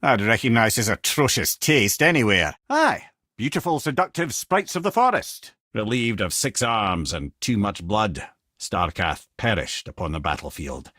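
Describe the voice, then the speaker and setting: A man's voice: fairly low pitch, sing-song, moderate pace, sharp tone, no echo, studio quality, full character voice. An actor voicing a witty, worldly older male advisor in a video game, with a Scottish accent and a wry, conversational way with old-fashioned phrases. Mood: wry, musing, then storytelling, reflective. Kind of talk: video game character dialogue.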